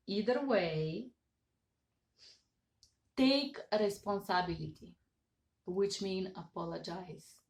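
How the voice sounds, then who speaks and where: Bulgarian-accented woman, echoey home office. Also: medium pitch. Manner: earnest, emphatic, gestures with hands, stresses numbered points. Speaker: interpreter giving business advice. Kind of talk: webcam advice talk. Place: home office with certificates on wall. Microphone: webcam at desk. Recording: echoey room.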